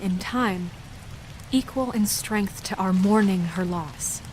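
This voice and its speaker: A woman playing a composed, earnest eulogist in a game, giving a solemn, formal speech in a measured, halting way. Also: medium pitch, a little mellow, lightly acted, low-quality recording. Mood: solemn, tender. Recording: faint rain and background noise.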